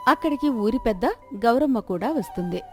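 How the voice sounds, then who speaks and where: A woman's voice: on the high side, expressive, fairly quick, music in the background. A woman narrating a scripted moral folk story as a storyteller.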